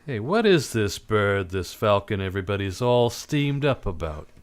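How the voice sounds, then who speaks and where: A man performs a tough private eye in an old-time radio drama. His voice is smooth and clear, with animated pitch swings. He talks in casual, clipped wisecracks with a cynical edge. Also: normal speed. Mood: probing.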